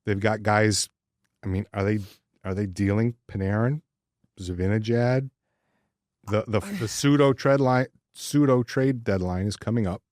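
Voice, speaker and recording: Man, sports podcast co-host. Lower-pitched, casual, blunt and wry, dry close sound with no room. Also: a little slow, darkish tone, no room sound.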